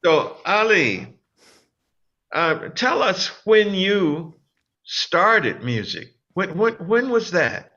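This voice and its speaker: A man hosting a casual interview, speaking slowly with a bright, forceful tone. He often stumbles and repeats his first words. The sound is dull and lo-fi. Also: sits high, big pitch swings. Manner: halting, repeats words as he starts. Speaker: interview host.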